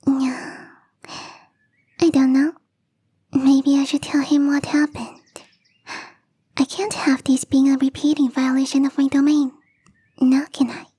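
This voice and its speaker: A woman voicing a young catgirl gardener in an ASMR roleplay, teasing, coy and playfully smug, with a breathy, whispery voice. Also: sits high, level tone, measured pace, long pauses, mellow, no echo. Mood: sly, mock threatening. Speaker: voice actress.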